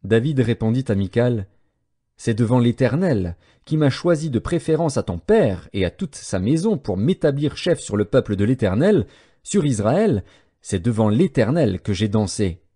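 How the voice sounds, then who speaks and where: A man narrating scripture as an audiobook, reading from a script in a measured, solemn manner with clearly separated phrases. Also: medium pitch, big pitch swings, warm tone.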